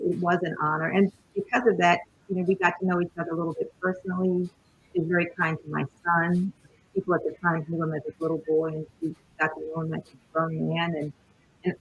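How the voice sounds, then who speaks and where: A woman's voice: fairly low pitch, gravelly, low-quality recording. A middle-aged woman, a journalist and panel guest, sharing personal reminiscences in a tribute.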